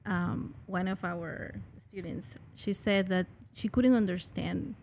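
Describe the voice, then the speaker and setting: A woman's voice: mid-range voice, slow pace, slight background noise. A woman with a Venezuelan Spanish accent, a native Spanish speaker co-hosting a casual podcast, laughing often. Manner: giggly, warm interjections. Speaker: co-host. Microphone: studio mic on arm, headphones.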